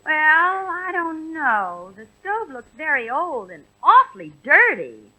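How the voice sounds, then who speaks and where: A woman's voice: high voice, sing-song, slow pace, bright, forceful tone, heavily acted. A woman acting a sweet newlywed farm wife in an old radio comedy. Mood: doubtful. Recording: noisy old radio recording.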